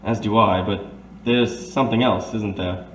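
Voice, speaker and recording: Man playing a low, measured, discreet confidant. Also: steady tone, natural voice. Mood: concerned. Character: detective's grave, discreet male confidant. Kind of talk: old-time radio detective drama acting. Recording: echoey, faint background noise.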